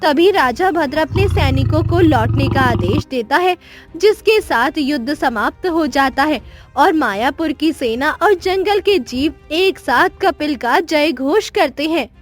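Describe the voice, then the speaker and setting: A woman's voice: sits high, animated, unhurried, soft background music. A woman narrating a scripted children's moral story as its storyteller.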